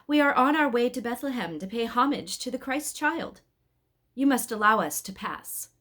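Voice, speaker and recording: A woman voicing a reassuring male angel in a storybook, with a measured, proclaiming manner. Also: on the high side, lively intonation, fairly bright tone, pitched above the performer's own voice. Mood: reassuring, firm. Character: male guardian angel, calm, authoritative and reassuring.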